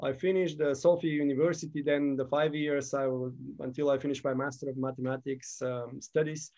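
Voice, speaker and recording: A man of about fifty from Bulgaria, answering interview questions in halting, phrasing and pausing to find his words. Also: medium pitch, little pitch movement, dark tone. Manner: halting, non-native phrasing, pauses to search for words. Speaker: guest.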